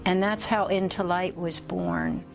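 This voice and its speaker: Female spokesperson, reflective interview delivery, lowish pitch with vocal fry, music underneath. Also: unhurried. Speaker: representative of a nonprofit art project.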